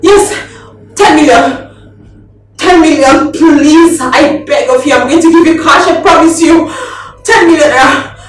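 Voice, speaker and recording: A terrified Nigerian captive begs in a high voice, stammering and repeating herself like a woman in a melodramatic film. The sound is echoey and off-mic, with music underneath. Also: a little slow, distant mic. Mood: desperate, tearful. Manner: begging, stammering, repetitive. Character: terrified pleading captive woman, wealthy politician.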